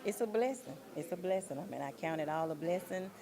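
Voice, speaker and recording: Female interviewee, low register, earnest and deliberate, busy crowd in the background. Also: lively intonation. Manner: earnest, faith-minded, deliberate. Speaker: playwright, director and producer being interviewed.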